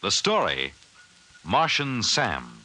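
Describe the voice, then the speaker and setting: A man's voice: on the low side, wildly swooping pitch, slow pace. A man with an American accent announcing a 1950s radio drama in a formal, theatrical, scripted style. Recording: vintage 1950s radio broadcast with loud music.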